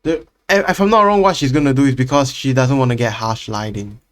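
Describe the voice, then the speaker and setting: Dude reacting to a clip, blunt and chatty, swears a bit, mid voice. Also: male voice, medium pitch, a little fast. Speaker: reaction channel host. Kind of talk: casual reaction commentary.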